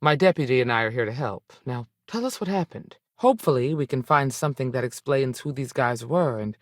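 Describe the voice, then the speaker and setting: A woman's voice: very deep voice, animated, brisk, dry recording, clean studio sound. An actress playing a tough, dutiful woman space ranger in a video game, speaking in a plain, firm manner. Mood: earnest, businesslike. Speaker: video game character voice actor. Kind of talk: game dialogue lines.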